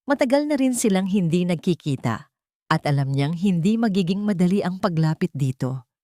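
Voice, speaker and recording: Filipino-accented female narrator for romantic drama: lowish register, very lively intonation. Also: moderate pace, no echo, clean studio sound. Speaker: storyteller. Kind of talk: scripted dramatic narration of a love story.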